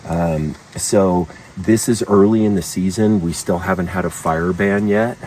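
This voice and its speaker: Outdoorsy guy explaining his camp setup, real deep hushed voice, mic's a little far, some background noise. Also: a little slow. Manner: keeping voice low, plain-spoken. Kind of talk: casual walkthrough explanation.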